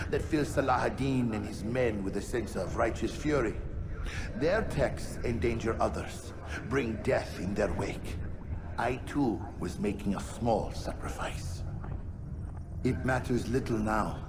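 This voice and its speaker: An actor playing a proud, scornful book-burning zealot in a video game, declaiming like a preacher. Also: male voice, medium pitch, noisy background, natural voice. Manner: declamatory, preaching, rhetorical questions. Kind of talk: dramatic video game acting.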